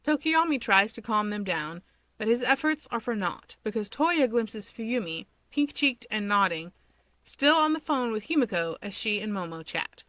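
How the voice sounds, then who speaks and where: Bright-voiced woman narrating a story. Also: medium pitch, lively intonation, moderate pace, dry recording. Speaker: fan fiction reader.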